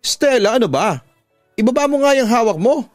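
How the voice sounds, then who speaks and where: A man's voice: very high voice, animated, normal speed, no echo, faint music behind, pitched above the performer's own voice. A man with a Filipino accent voicing a stern, angry older father in a radio drama, scolding and commanding.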